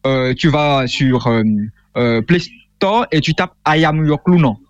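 A man's voice: fairly high pitch, unhurried, dry recording, highs cut off. A young man from Benin, a guest author speaking earnestly with frequent hesitations. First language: Fongbe. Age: young adult. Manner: earnest, explanatory, many hesitations. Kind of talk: interview conversation.

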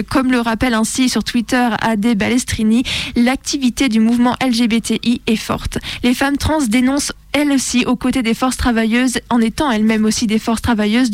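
A woman's voice: fairly high pitch, no echo. A woman presenting scripted political commentary on the radio in a militant, opinionated manner.

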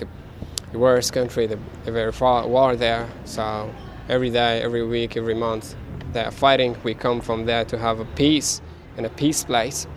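A man's voice: medium pitch, creaky, a little hiss or hum. A man with an Afghan accent and Dari as his first language, speaking casually as a refugee and interpreter, repeating words for emphasis. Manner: repeats words for emphasis, halting non-native English phrasing. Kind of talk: casual spoken testimony and interpreting.

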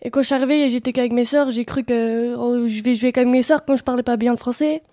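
High, mellow young woman. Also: fairly even intonation, fast, dry recording, studio quality. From Romania. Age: young adult. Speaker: youth contributor giving testimony. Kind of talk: casual personal storytelling.

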